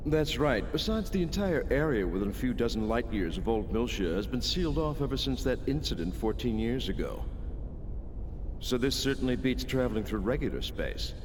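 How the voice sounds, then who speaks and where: An actor playing a matter-of-fact project colleague in a video game cutscene. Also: male voice, animated, normal speed, close to an everyday voice. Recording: clearly noisy low rumble.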